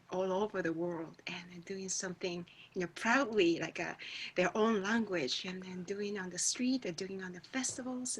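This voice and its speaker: A middle-aged Japanese woman whose first language is Japanese, telling her story in halting English on a video call as a guest musician. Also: fairly low pitch, husky. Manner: halting, searching for words in a second language. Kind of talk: reflective personal storytelling.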